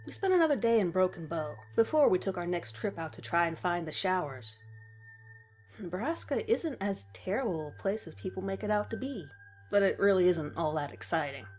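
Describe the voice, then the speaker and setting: A woman's voice: on the low side, darkish tone. A woman reading a scripted horror story in the first person as its narrator. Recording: music behind the voice. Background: background music.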